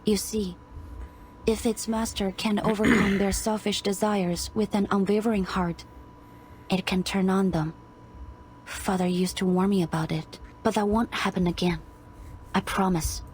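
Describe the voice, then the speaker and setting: A woman's voice: mid-range voice, steady tone, measured pace, darkish tone, slight background noise, natural voice, poor audio. A woman playing an earnest, dutiful young police officer, polite, sincere and resolute.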